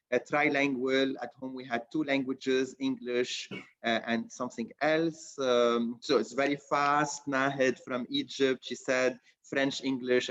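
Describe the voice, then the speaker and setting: A man's voice: mid-range voice, fairly bright tone, lo-fi sound. A man co-hosting a webinar, casually reading out audience answers with many fillers. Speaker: co-host.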